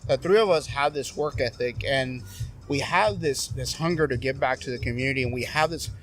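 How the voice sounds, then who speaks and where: A man's voice: mid-range voice, fairly quick. A middle-aged veteran man in casual podcast talk on a patio, joking and teasing his friends. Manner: teasing, joking, laughs often. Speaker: guest, veteran advocate. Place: covered patio with string lights at night.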